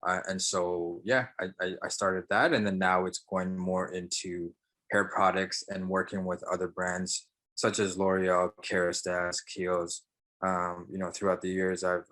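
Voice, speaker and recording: A man's voice: deep voice, fairly bright tone. A young man from Sri Lanka, a barber and entrepreneur, answering interview questions casually with many fillers and restarts.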